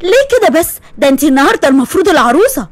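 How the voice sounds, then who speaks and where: A woman's voice: high-pitched, big pitch swings, very fast, dry recording. A woman voicing a playful, teasing young female friend with an Egyptian accent. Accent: Egyptian Arabic.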